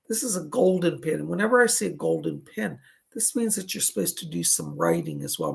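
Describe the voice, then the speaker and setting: Gravelly, raspy man giving psychic readings. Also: high-pitched. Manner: soothing, reassuring, chatty. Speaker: psychic medium. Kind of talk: live on-air psychic readings.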